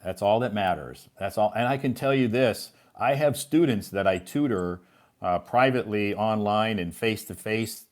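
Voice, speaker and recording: A man's voice: fairly low pitch, normal speed. A man hosting a live English chat as a teacher, reassuring and encouraging. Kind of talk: casual chat.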